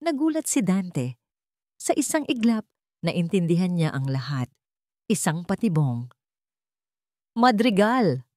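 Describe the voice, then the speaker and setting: A Filipino woman narrates a dramatic story in a scripted storytelling style. Her voice sits on the low side, and the recording is dry and close, with little room sound. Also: expressive, a little slow, long pauses, dark tone, no echo.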